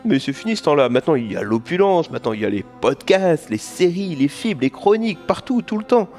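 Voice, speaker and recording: A self-mocking comic narrator, played by a man, rambles chattily in a slightly high voice. He grumbles with mock nostalgia and wanders off track, over light background music in an audio play. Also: very animated, brisk, a little mellow. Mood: mock indignant.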